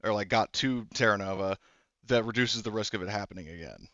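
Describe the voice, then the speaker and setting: Smooth, clear-voiced man as game master. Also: on the low side, brisk, a bit bright, no echo, muffled recording. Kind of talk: tabletop roleplaying discussion.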